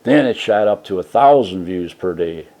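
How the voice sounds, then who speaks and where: A man hosting his own channel, talking casually and softly from his trailer home, rambling with many fillers and restarts. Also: sits low, animated, moderate pace. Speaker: YouTube channel host. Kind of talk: casual rambling vlog talk. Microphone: desk mic set for a soft voice.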